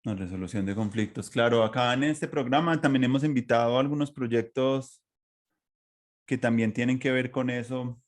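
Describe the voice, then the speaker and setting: A man's voice: medium pitch, moderate pace. Colombian man hosting a conversational podcast. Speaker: podcast host. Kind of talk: conversational interview, reflective commentary.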